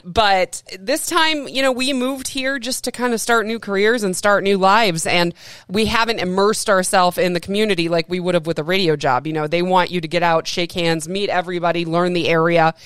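A woman's voice: lowish voice, steady tone, normal speed, fairly bright tone, clean tone, no echo. A woman hosting a podcast, talking in a chatty, upbeat way with frequent laughs, with the ease of a former radio broadcaster. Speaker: podcast host. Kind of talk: casual solo podcast monologue.

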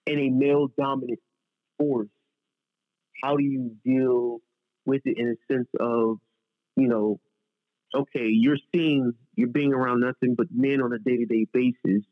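A man's voice: mid-range voice, steady tone, a little slow, long pauses, dry recording. A man asking questions as a co-host in a casual podcast conversation. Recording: thin phone-like audio.

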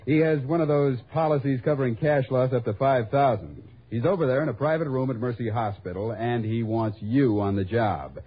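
Male actor as husky earnest office guy from 50s radio show, bit exasperated, muffled old tape. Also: mid-range voice, fairly quick, hardly any pauses, natural voice. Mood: earnest, prodding. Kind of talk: 1950s radio drama dialogue. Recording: dull vintage radio recording.

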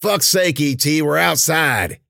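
Man as a crude blustering henchman, raised pitch. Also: expressive, moderate pace, a bit bright, no room sound, clean studio sound. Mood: irritated. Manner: blustering, uneducated grammar. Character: crude, impatient henchman, bullying his partner.

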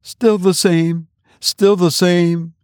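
A man reading an audiobook, voicing a distraught, vengeful wife with pleading, shrill outbursts. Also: expressive, moderate pace, creaky, no echo, clean studio sound. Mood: desperate. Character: distraught middle-aged wife, vengeful and desperate. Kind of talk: audiobook character voice.

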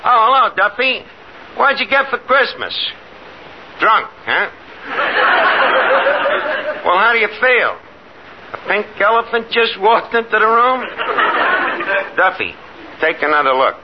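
A man's voice: sits high, sing-song, unhurried, pauses often. A man playing a brash, scheming, wisecracking tavern manager in a 1950s radio comedy, slangy with pompous big words. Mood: wry, wisecracking. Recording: noisy, muffled old radio recording.

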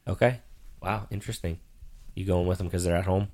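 Deep-voiced middle-aged dad, casual co-host, patient and dryly teasing. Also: male voice, steady tone, fairly quick, a little mellow, no echo. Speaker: father co-hosting sports picks. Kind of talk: casual chat.

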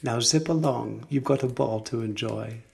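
A man's voice: mid-range voice, normal speed, vocal fry, a little mellow. A man voicing a kindly, eccentric fairy godmother in a storybook, speaking reassuringly and affectionately. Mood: brisk, caring. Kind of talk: storybook character reading.

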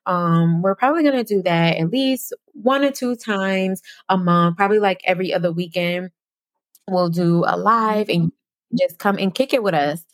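A woman's voice: fairly low pitch, normal speed, dry recording, clean studio sound. A young adult woman co-hosting a podcast, chatting casually in slang and laughing often. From New Jersey. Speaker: podcast co-host.

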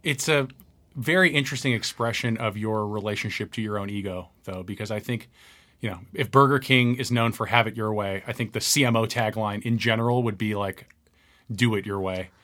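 Low-voiced interviewer man, measured. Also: fairly bright tone. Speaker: podcast host, interviewer. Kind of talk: interview questions.

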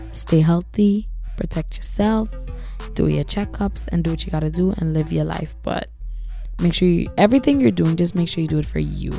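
A young woman in her twenties hosting a solo podcast, husky and raspy from losing her voice, chatting casually and giving advice. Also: sits low, warm tone. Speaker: podcast host.

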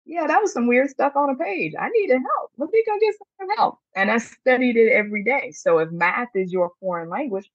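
A woman's voice: lowish voice, no room sound. Earnest woman teacher, emphatic panel talk, dry dull recording. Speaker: math teacher. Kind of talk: panel discussion.

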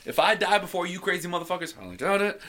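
A man co-hosting a casual chat show, reading trivia and joking crudely and loudly. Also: high voice, big pitch swings, rapid-fire. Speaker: podcast co-host.

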